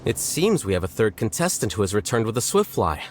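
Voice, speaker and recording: An aloof male scholar from a video game in a fairly low voice with a lively range. He is formal and dryly sardonic, never giving ground. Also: a little fast, slight background noise. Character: aloof young male scholar-judge, dry, sardonic, unyielding.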